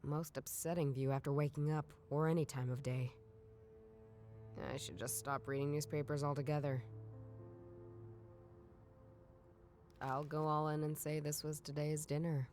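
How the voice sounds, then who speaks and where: A woman playing a jaded, sardonic detective in a noir video game, musing in a dry, weary deadpan. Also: sits low, normal speed, faint music behind, lightly acted. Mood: wry, weary. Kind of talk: video game voice acting, inner monologue.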